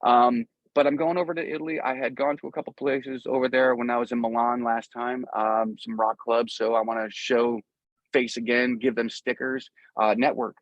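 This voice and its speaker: A man talks casually as a guest musician in an interview, earnest and loose with plenty of slang. His pitch sits in the middle, and the recording is dull and dry, with little room sound. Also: level tone, moderate pace, dry recording.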